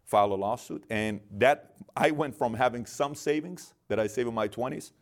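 A middle-aged man born in Iran, guest in an interview, telling animated stories and acting out the dialogue. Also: medium pitch, normal speed. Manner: animated storyteller, acts out dialogue in quotes. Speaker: guest, business founder. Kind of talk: storytelling interview.

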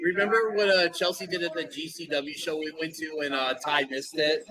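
A man's voice: a little high, fairly even intonation, brisk, bright, forceful tone. A man co-hosting a wrestling podcast, chiming in with short reactions and questions. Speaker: podcast co-host. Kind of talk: casual live banter watching wrestling. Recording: off-mic in a noisy bar crowd.

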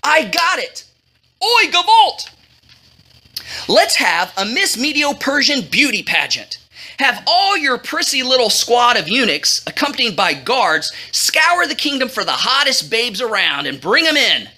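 Woman as a pushy, high-pitched royal courtier. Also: sing-song, normal speed, sharp tone, full character voice. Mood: scheming, excited. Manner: emphatic, colloquial, egging on. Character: pushy, excitable male royal courtier, one of the guys.

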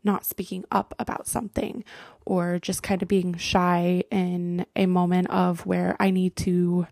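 Chatty young woman, gravelly and mellow. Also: fairly low pitch, flat delivery, unhurried, no echo, studio quality. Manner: chatty, with frequent fillers and laughs. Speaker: podcast host. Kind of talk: casual solo podcast talk.

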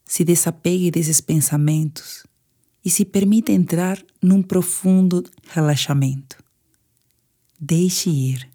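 A woman's voice: lowish voice, slow pace, dark tone. A woman with a Brazilian Portuguese accent guides a sleep meditation in a soothing, instructive manner.

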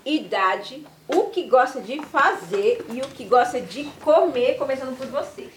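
A woman's voice: high voice, measured pace. A woman with a Brazilian accent hosting a podcast, chatting playfully with children in a museum studio. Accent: Brazilian Portuguese. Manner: cheerful, teasing, lively questions. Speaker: podcast host interviewing children. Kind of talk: playful casual chat with kids. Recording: echoey studio, faint noise.

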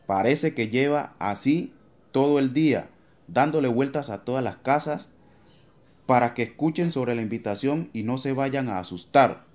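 A man's voice: mid-range voice, moderate pace, darkish tone. A man narrating a scripted radio drama as its storyteller.

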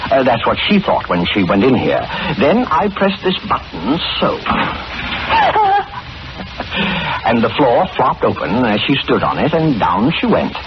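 A man's voice: mid-range voice, wildly swooping pitch, measured pace. An actor playing a gloating, cunning old serial killer in an old-time radio mystery, theatrical and rambling, savouring his words. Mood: gloating, menacing. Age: older. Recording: noisy, dull old radio drama recording.

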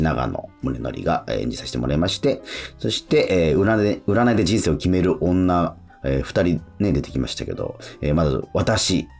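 A man hosting a casual radio show, with a halting delivery full of drawn-out fillers. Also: very deep voice, creaky, darkish tone.

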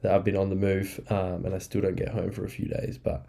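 A man hosting a casual solo podcast, rambling and upbeat, a bit nasal and croaky from the flu, recorded on a laptop mic in an apartment. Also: low-pitched, monotone, brisk, dark tone. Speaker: podcast host. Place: apartment indoors. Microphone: laptop microphone.

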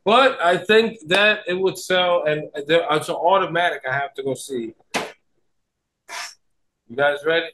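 A man on a podcast, chatting casually and excitably, repeating phrases for emphasis. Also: on the high side, measured pace, pauses often, slight background noise, rough recording. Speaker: podcast guest or co-host. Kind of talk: casual chat.

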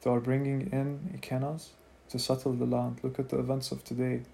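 A man's voice: mid-range voice, little pitch movement, fairly quick, gravelly, dark tone, lightly acted. A man voicing a rakish, charismatic male rebel leader giving a declamatory rallying speech. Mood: rousing.

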